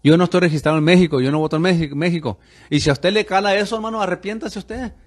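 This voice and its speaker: A man's voice: high-pitched, very fast. A middle-aged man from the United States, a pastor hosting a radio show and talking in an insistent, preachy way that keeps calling the listener "brother". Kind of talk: opinionated radio monologue.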